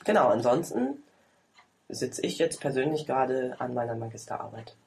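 A man's voice: mid-range voice, very animated, normal speed, gravelly, a little hiss or hum, poor audio. A man in his twenties in casual chat at home, chatty and self-mocking, often laughing. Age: 20s. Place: home, at a table over tea.

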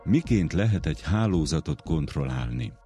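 A man's voice: a bass voice, big pitch swings. A man reading scripted science documentary narration as the programme's narrator, with music behind him.

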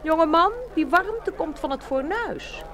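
A woman's voice: very high-pitched, expressive, a little slow, noisy background. A woman playing an impatient, imperious young princess in a fairy-tale audio play. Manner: imperious, dismissive. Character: haughty, impatient young princess choosing a suitor.

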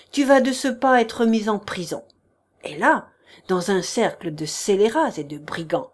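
Lady voicing a stern old-world prince, slow and scornful, bossing someone around. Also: fairly high pitch. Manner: cold, scornful, commanding. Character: stern, contemptuous male prince, a high official. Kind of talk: audiobook character reading.

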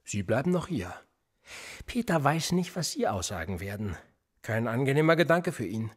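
Husky, probing police interrogator. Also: male voice, medium pitch, big pitch swings, normal speed. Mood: calculating, weary. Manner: pressing, needling, rhetorical questioning. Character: probing, sardonic male police interrogator, middle-aged, world-weary. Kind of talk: German radio play acting.

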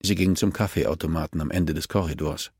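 A man's voice: very deep voice, fairly even intonation, brisk, vocal fry, darkish tone, husky, no echo, studio quality. A man reading scripted fiction as an audiobook narrator.

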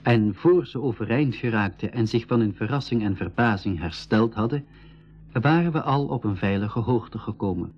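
An actor playing a composed storyteller, narrating an adventure in a scripted school radio drama. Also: male voice, on the low side, moderate pace, dull audio, close to an everyday voice. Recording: band-limited 1980s radio recording.

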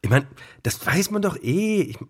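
Husky, defensive man, animated. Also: sits high, full character voice. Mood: defensive, exasperated. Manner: halting, repeating, seeking agreement. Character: defensive, hesitant man evading guilt with rationalizing objections. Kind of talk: theatrical stage dialogue.

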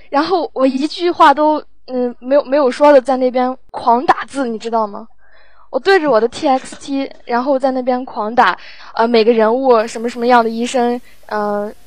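Casting: woman, raised pitch, halting and unsure, chatting informally in a dry acoustic. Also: dry recording, low-quality recording. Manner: hesitant, halting. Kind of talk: casual group chat, recounting production work.

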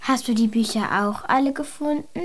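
A woman's voice: a little high, moderate pace, dry recording, close to an everyday voice. A woman playing a curious, earnest young girl in a German radio play, speaking in a questioning way. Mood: curious, puzzled.

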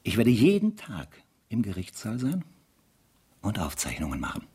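A very husky, low-pitched diarist, grim and convinced of another's guilt. He broods resolutely through a radio play monologue, his intonation rising and falling widely. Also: huge pitch swings, normal speed, darkish tone, full character voice. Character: grim man narrating his diary, unshakably accusing.

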